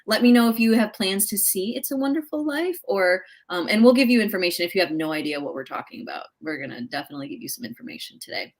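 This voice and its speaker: A woman presents a live show with bubbly enthusiasm. Her mid-pitched voice is chatty and conversational, as if talking straight to the viewers at home. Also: expressive, fast talker, few pauses. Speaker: host of a live local talk show.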